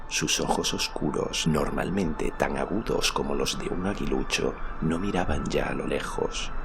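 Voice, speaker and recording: Un hombre narra un relato fantástico con fraseo pausado, solemne y dramático, como en un audiolibro. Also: male voice, extremely low pitch. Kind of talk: dramatic scripted narration of a fantasy story. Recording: clear ambient noise behind.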